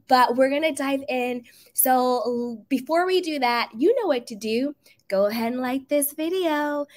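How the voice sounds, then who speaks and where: A woman whose voice is raspy from being sick, giving sassy, emphatic celebrity gossip commentary on her channel. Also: sits high. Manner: sassy, emphatic, drawn-out stresses. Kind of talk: casual gossip commentary to camera.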